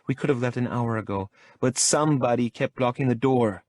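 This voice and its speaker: A man's voice: medium pitch, brisk, darkish tone, no echo, pitched above the performer's own voice, natural voice. A man voicing a stubborn young woman who protests and pleads in an audiobook. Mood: exasperated. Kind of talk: audiobook character voice.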